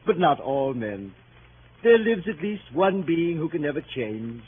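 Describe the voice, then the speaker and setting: An actor playing an amorous gentleman suitor who pleads in florid, theatrical speeches, in 1930s radio style. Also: a little high, very animated, a little slow. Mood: adoring. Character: amorous, flowery middle-aged gentleman suitor. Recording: tinny, noisy 1930s radio recording.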